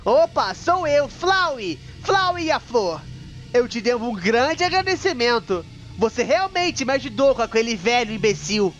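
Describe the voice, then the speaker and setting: A small evil flower villain, taunting and theatrical in game-dub style. The recording is dull and lo-fi, with music faintly underneath the cruel, gleeful delivery. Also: female voice, on the high side, very animated, moderate pace. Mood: mock cheerful. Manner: taunting, theatrical, bursts into shouting. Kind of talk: dramatic dubbed game villain. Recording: muffled lo-fi sound with music.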